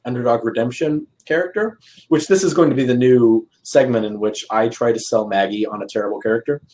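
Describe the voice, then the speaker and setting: A man chatting informally with wry humorous commentary and a slightly halting delivery. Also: medium pitch, darkish tone. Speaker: talk show co-discussant. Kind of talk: casual chat, humorous commentary.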